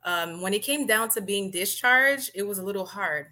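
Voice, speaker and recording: Bright-voiced, composed woman from Miami. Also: mid-range voice, lively intonation, normal speed. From Miami, Florida. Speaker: podcast guest, nurse and business owner. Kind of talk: conversational interview answers.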